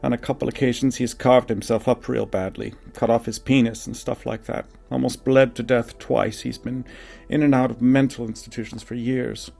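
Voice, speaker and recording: A man's voice: mid-range voice, fairly even intonation, normal speed, creaky, dark tone, soft background music, pitched above the performer's own voice. A man voicing a guilt-ridden young man who confesses his pain plainly in an audiobook testimony. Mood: troubled, confiding. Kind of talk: audiobook reading of a personal testimony.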